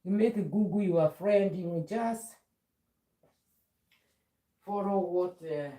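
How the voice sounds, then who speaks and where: A woman's voice: fairly low pitch, slow, warm tone, far from the mic. Middle-aged woman chatting from a roomy home. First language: Swahili. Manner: chatty, moves around doing chores while talking. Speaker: live streamer. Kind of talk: casual live chat. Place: home, indoors. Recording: roomy sound, speaker often away from the mic.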